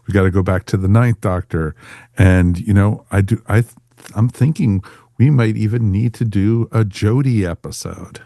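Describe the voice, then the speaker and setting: A man's voice: on the low side, moderate pace, warm tone, no room sound, clean studio sound. A man hosting a podcast in a casual, chatty, informal way. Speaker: podcast host.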